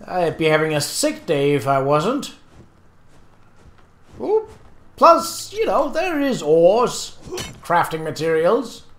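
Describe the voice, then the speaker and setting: Guy doing a lets play, slow and chatty, goes all over in pitch, kinda echoey room. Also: huge pitch swings, a bit bright. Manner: chatty, easily distracted, thinks aloud while playing. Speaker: Let's Play host. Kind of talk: casual gameplay commentary.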